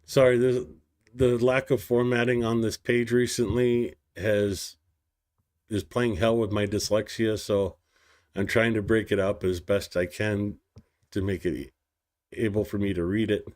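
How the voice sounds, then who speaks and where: Slow, careful man reading war news. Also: fairly low pitch, level tone, darkish tone. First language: English. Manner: slow careful reading, stumbling over place names. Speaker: news show host.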